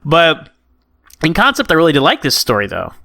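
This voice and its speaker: A man co-hosting a casual review chat, talking in a rambling, enthusiastic, halting way. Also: a little high, huge pitch swings, gravelly, sharp tone, dry recording, studio quality. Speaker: podcast co-host.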